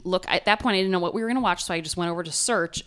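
Nasal woman on a podcast, kinda low voice, just chatting and laughing through her story. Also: rapid-fire, a bit bright, clean tone. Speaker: podcast co-host. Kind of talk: casual chat.

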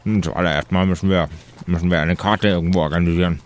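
A man playing a pensive, nature-loving adventurer in a tabletop game, speaking deliberately and formally and repeating himself. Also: low voice, fairly even intonation, voice pushed down, lightly acted. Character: pensive, nature-loving male adventurer, friend of forest animals.

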